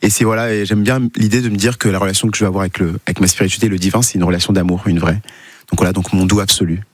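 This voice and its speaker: A man whose first language is Portuguese talks quickly and a little low as a musician guest on the radio. He sounds thoughtful, and he hunts for words with plenty of fillers. The studio sound is close and dry. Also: fairly even intonation, slightly rough, dry recording. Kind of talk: radio interview.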